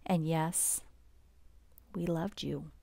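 Slow-reading woman, soft bedtime storyteller. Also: sits low, gravelly, no room sound. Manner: gentle, unhurried, expressive storybook delivery with soft drops. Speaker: storyteller reading a picture book aloud. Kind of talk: bedtime story read-aloud.

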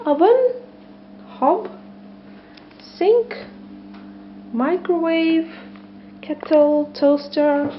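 A woman in her thirties, walking a camera through a small loft flat and describing it with frequent ums and hesitations. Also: high-pitched, highs cut off, a little hiss or hum. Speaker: property tour presenter. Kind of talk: walkthrough tour narration. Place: small loft studio apartment, indoors. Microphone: camera mic, handheld camera.

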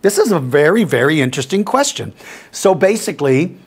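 A man in his fifties talks to camera in a small studio, his voice a little gruff and rough. He sits slightly high in pitch for a man and stays friendly and expressive as he answers viewer questions. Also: brisk. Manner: friendly, expressive, gestures while talking. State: gruff, rough voice after speaking at a school. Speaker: dinosaur educator and host. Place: small studio with mottled backdrop.

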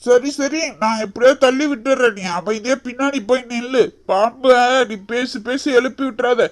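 A performer voicing a bossy, confident young village husband, brisk and repetitive, in an animated story. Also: mid-range voice, natural voice. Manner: brisk, bossy, repeats himself.

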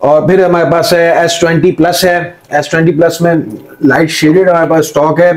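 A middle-aged Pakistani man with a slightly high voice, talking as a shop seller. He runs through items in an informal pitch to camera, leaning on repeated filler phrases. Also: flat delivery, brisk, low-quality recording. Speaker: mobile phone seller. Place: indoor room with display table.

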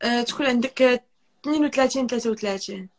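Quick, high young Moroccan woman. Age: 20s. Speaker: live stream guest. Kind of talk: casual chat. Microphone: phone at arm's length.

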